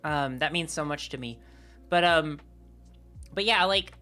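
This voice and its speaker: A young woman streamer talks in a casual, rambling way, filling her sentences with "like." Her voice sits very low and sounds a bit rough from a sore throat, yet it keeps a bright, forward edge. Faint music plays behind her. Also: measured pace. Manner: rambling and candid, heavy use of "like". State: sore throat, voice deeper than usual. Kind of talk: casual live-stream chat.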